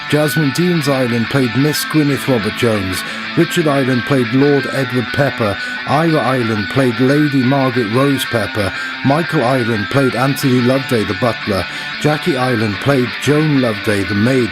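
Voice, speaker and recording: A man announces scripted radio play credits. His voice sits at a middle pitch, and music plays clearly behind him. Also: poor audio.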